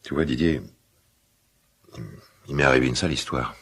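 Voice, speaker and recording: An actor playing a middle-aged father in a radio drama, guilt-ridden and awkwardly tender, speaking hesitantly in a gravelly smoker's voice. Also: extremely low pitch, fairly even intonation, fairly bright tone, exaggerated voice. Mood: haunted, confessing. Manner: hesitant, halting, confiding. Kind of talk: naturalistic French radio drama dialogue.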